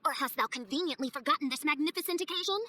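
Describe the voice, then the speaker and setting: High-voiced, pompous self-styled princess. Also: female voice, racing, pushed and bright, no room sound. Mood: imperious. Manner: archaic, pompous, declamatory. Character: theatrical self-styled teenage princess, haughty and grandiose.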